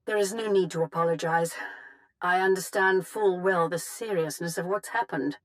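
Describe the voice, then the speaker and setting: An actress playing a poised, imperious Sith Empress in a video game, speaking formally and coolly. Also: female voice, lowish voice, moderate pace, lightly acted. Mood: cool concern. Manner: formal, regal, cool. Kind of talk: dramatic video game voice acting.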